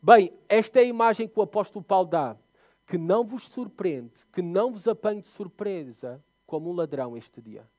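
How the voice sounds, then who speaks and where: A young adult man with a European Portuguese accent preaching a sermon, telling a personal story with sharp swings between loud emphasis and quiet asides. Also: high voice, normal speed, no echo, clean studio sound. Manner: animated storyteller, voice rising and dropping sharply for emphasis. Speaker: pastor.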